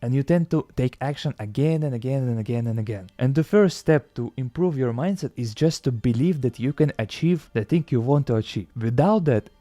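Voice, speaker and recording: A man's voice: mid-range voice, dark tone, dry recording. A young man with a Russian accent giving an earnest motivational talk to camera, with slightly non-native phrasing. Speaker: self-improvement YouTuber.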